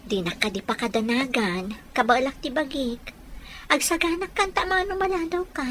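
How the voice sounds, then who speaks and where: An actress with an Ilocano Filipino accent playing a young pregnant wife, weary and slightly petulant, in a radio drama. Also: female voice, sits high, normal speed, a crowd in the background, natural voice. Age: young adult.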